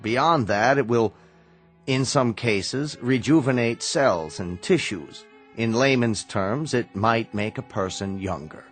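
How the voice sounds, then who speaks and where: An actor in a radio drama playing a stern, sardonic medical researcher who speaks in a measured, commanding way. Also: male voice, medium pitch, lively intonation, fairly bright tone, soft background music. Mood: grave, explanatory.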